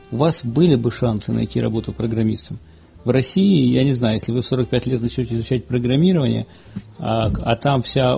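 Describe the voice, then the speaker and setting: A man hosting a casual panel talk show, speaking in a measured way and reading out numbered questions. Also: mid-range voice, vocal fry, warm tone. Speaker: podcast host.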